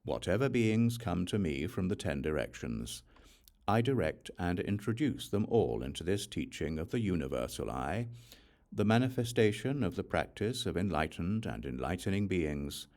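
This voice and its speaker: Male audiobook narrator, deep register, expressive rise and fall, solemn scriptural reading. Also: normal speed.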